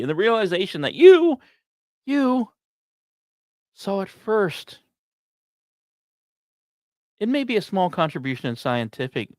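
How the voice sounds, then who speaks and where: A man hosting a podcast, reading scripted science narration with casual asides and dramatic, storyteller-like phrasing broken by deliberate pauses. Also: fairly high pitch, no echo, studio quality. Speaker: podcast host.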